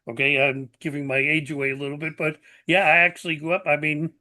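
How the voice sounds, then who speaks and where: An older man in his seventies hosts a podcast chat, his voice bright and somewhat high for a man. He talks in a plainspoken, earnest way, with plenty of "you know" and "like". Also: little pitch movement, quick pace. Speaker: podcast host. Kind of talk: conversational interview.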